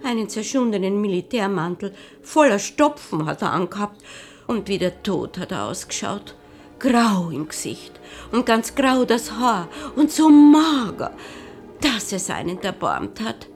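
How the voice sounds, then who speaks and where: A café woman recounts a sorry sight in homely dialect. She speaks slowly. Soft music plays faintly beneath. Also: medium pitch, sing-song. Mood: pitying. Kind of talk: radio drama acting.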